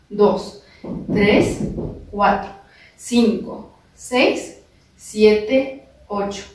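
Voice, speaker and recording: A young woman, not a native speaker, teaching dance steps with careful, halting phrasing and counted beats, in a large living room. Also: fairly high pitch, a little mellow. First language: not Spanish. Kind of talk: slow step-by-step dance instruction, counting beats. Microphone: far camera. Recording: echoey room from far off.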